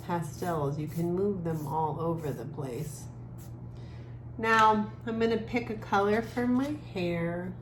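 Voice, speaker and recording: A woman giving a casual art lesson for children, musing gently aloud as she draws. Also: medium pitch. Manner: gentle, musing, talks while drawing with trailing pauses. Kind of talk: casual creative drawing lesson, thinking aloud. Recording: echoey room with clear background noise.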